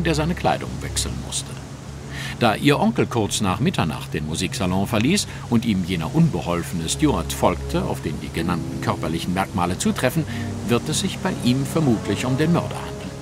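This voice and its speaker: A man's voice: medium pitch, very animated, moderate pace, music in the background, close to an everyday voice. An actor playing a brilliant, self-assured male detective, precise and commanding, in a German audio drama. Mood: analytical, assured.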